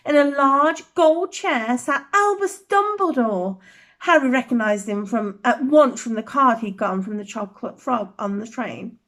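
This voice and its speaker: A woman reading a children's bedtime story aloud with expressive, dramatic emphasis. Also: a little high.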